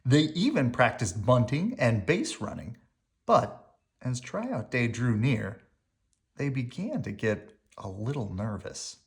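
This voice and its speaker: A reader narrating a children's picture book aloud in an expressive storytelling style. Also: mid-range voice, slow pace, vocal fry.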